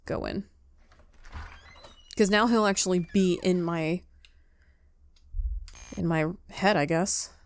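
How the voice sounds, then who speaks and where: A woman's voice: on the low side, takes it slowly, long pauses. A woman giving casual let's play commentary as a game streamer, sometimes reading on-screen text aloud.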